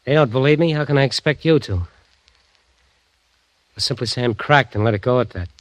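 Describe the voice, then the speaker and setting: An actor playing a haunted, desperate celebrity bandleader in an old-time radio drama, halting and anguished yet insistent. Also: male voice, mid-range voice, dry recording, close to an everyday voice, low-quality recording. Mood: weary, anguished.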